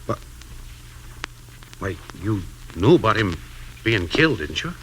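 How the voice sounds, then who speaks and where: An actor playing a hearty, kindly American frontier sheriff in a 1950s radio western, with a folksy, expressive delivery. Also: male voice, lowish voice, measured pace. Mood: awkward, sorry. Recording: slightly noisy 1950s radio recording.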